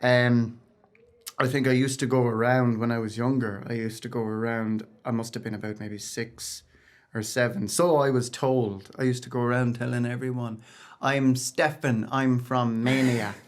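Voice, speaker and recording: A man in his thirties from Ireland, talking as a guest in a relaxed interview. His pitch sits in an ordinary middle range. He tells stories in a chatty way and often backs up to restart a sentence. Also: moderate pace. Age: 30s. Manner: chatty and storytelling, with frequent restarts and laughs. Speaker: guest singer. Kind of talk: casual interview chat.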